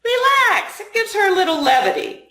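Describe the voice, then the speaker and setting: A woman voicing a teasing, calm male FBI agent in a story reading. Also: very high-pitched, normal speed, voice pushed up, heavily acted. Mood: mischievous. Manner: playful, mischievous. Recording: roomy, echoing room sound.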